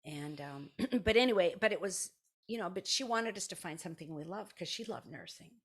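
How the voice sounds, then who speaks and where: A woman in her sixties with an American accent and a low-pitched, slightly rough voice. She chats casually through her own stories, circling back, breaking off sentences and voicing what others said. She comes across as a guest in a relaxed conversation. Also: a little fast. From South Dakota, United States. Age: 60s. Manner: rambling, self-interrupting, anecdotal with quoted dialogue. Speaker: podcast guest, retired kindergarten teacher.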